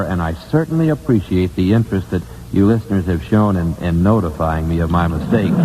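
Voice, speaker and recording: A man's voice: lowish voice, darkish tone. A man hosting a 1950s live radio quiz, chatty yet formal and courteous in his delivery. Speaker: radio quiz show host. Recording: old radio broadcast, long reverb, faint noise.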